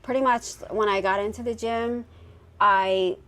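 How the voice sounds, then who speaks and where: A woman's voice: medium pitch, a little slow. Laid-back woman in her forties. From Pennsylvania, United States. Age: 40s. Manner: relaxed, laughs easily, many um and uh fillers. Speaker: podcast guest, firefighter. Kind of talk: casual interview chat.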